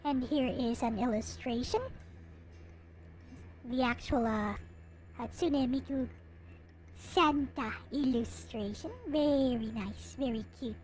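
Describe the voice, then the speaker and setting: A woman's voice: fairly high pitch, slow, pauses often, darkish tone, slight background noise. A woman giving a casual, playful show-and-tell commentary as a streamer presenting collectibles. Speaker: streamer showing collectible anime figures. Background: music.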